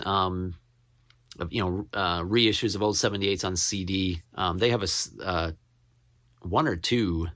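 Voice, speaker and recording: A man chatting casually as he shows off his finds as a collector, rambling and halting, often repeating what he reads out. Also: on the low side, bright, forceful tone, no echo, studio quality. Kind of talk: casual show-and-tell to camera.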